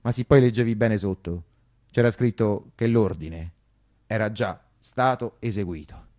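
A man's voice: on the low side, measured pace, darkish tone. A man with a Roman accent performing a theatrical first-person monologue in colloquial dialect, repeating phrases emphatically like a popular storyteller. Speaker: actor-narrator.

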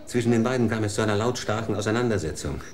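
A gossipy, knowing broadcasting official, played by a man, with a fairly low voice. He shares inside news in a chatty, confiding manner in a radio drama. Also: very fast, close to an everyday voice.

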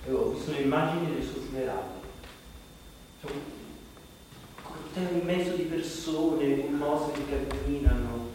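A man performing a confessional stage monologue as a self-doubting character, halting and conversational. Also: fairly high pitch, a little mellow, close to an everyday voice. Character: self-doubting man musing on his own funeral. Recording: very echoey hall, distant, faint noise.

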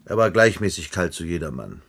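An actor playing a weary, cynical long-serving official with a husky voice and dry manner in a German radio play. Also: male voice, low-pitched, sing-song, fairly quick, fairly bright tone, exaggerated voice. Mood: dry, resigned.

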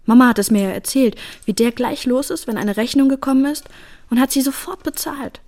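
A woman's voice: on the high side, darkish tone. A woman playing a tender, principled young working-class mother in a naturalistic radio drama, speaking in an earnest, plain way. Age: young adult.

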